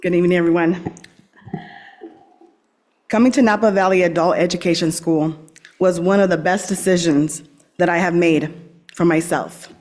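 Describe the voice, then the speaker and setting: A woman's voice: lowish voice, steady tone, moderate pace, long pauses, a little mellow, slight background noise. An adult woman, a recent graduate, reading a sincere, personal speech at a school board meeting. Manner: sincere, personal, reads steadily. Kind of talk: prepared graduation-style speech.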